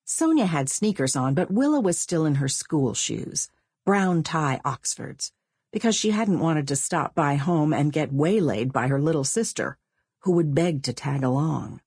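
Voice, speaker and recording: A woman reading a novel as an audiobook narrator, with measured, expressive storytelling. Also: low-pitched, husky, no echo, studio quality. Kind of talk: scripted literary narration.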